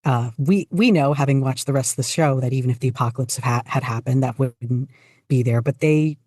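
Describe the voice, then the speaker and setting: A podcast guest chatting casually, speaking in halting but enthusiastic bursts. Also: medium pitch, darkish tone, dry recording, clean studio sound. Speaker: podcast guest, fan fiction writer. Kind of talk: casual interview chat.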